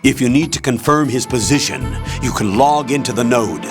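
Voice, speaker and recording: A man's voice: medium pitch, moderate pace, lightly acted. Man as matter-of-fact military adviser, music behind. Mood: instructive, calm. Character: brisk military adviser giving mission instructions. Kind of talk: video game voice acting. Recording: game soundtrack music underneath.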